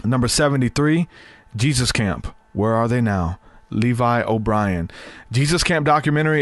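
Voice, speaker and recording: A man's voice: mid-range voice, no room sound. A man in his thirties hosting a podcast, chatting casually and rambling, his voice tired from long talking. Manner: rambling, repeats words, many fillers. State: voice getting tired. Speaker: podcast host.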